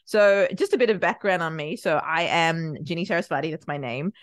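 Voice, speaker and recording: Low-voiced chatty woman podcast guest. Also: a little fast, dry recording, studio quality. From Sri Lanka, raised in Australia. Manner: chatty, expressive, acts out quotes. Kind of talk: casual interview chat.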